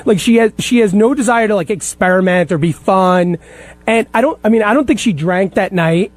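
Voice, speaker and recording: A man's voice: high voice, normal speed. A man in his late thirties chatting casually as a producer on a radio panel, blunt and emphatic in his opinions. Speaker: radio show staff producer, guest.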